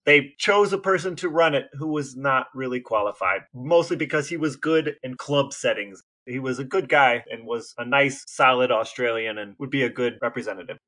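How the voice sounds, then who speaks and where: A man's voice: mid-range voice, moderate pace, few pauses, bright, forceful tone. An American man co-hosting a podcast, telling a story in casual conversation. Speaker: podcast co-host.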